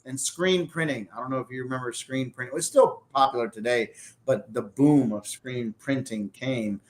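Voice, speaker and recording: A man's voice: medium pitch, moderate pace. A man in his forties from Pakistan, a restaurant owner telling his story in a loose, talkative way during a casual interview.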